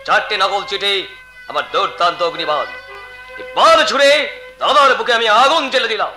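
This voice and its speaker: Very high piercing voice, scheming young brother-in-law, theatrical declamation, wide swings, folk stage drama with music. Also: male voice, very animated, brisk, bright, forceful tone, full character voice. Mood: gloating triumph. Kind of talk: Bengali jatra folk-theatre melodrama.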